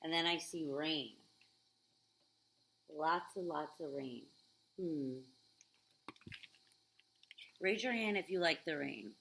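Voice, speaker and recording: A woman reading a picture book aloud to children, expressive, with chatty questions and asides. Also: deep voice, slow, vocal fry, pauses often, a little hiss or hum, low-quality recording.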